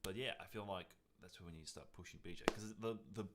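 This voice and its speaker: A man co-hosting a podcast, chatting casually in an animated, jokey way. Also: low-pitched, fast. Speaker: podcast co-host. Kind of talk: casual chat.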